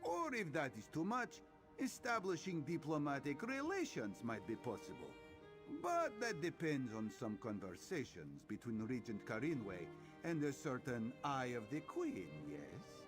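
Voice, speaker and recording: A sly cat-man spy from a fantasy game. His delivery is theatrical and smooth, with pitch that swings very widely, and music plays behind him. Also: wildly swooping pitch, measured pace. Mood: suave, sly. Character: suave cat-man spy agent of a queen, speaks in third person. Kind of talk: scripted game dialogue.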